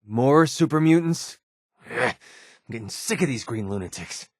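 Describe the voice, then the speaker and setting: A man's voice: mid-range voice, expressive, unhurried, no echo, clean studio sound. An actor playing a sardonic young male mercenary in a video game, with an American accent and a casual, grumbling way of talking. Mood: alarmed, disgusted. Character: wry young male mercenary sniper in a post-apocalyptic wasteland. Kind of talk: video game companion dialogue.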